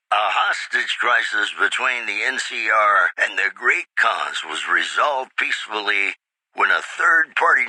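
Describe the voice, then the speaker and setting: A slick retro radio dj for a wasteland game, reads the news all charming and showy, deepish voice, swoops around a lot. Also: male voice, pushed and bright, breathy edge, no room sound, exaggerated voice, rough recording. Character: suave male radio DJ and newscaster in a post-apocalyptic game. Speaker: radio host and news announcer. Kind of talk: scripted radio news bulletins, retro lounge-DJ patter.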